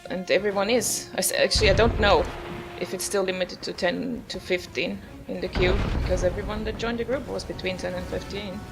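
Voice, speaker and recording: A woman's voice: mid-range voice, steady tone, fairly quick, hardly any pauses, rough recording. A woman giving casual, musing, understated commentary while playing a video game. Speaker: gamer, video host. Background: video game music.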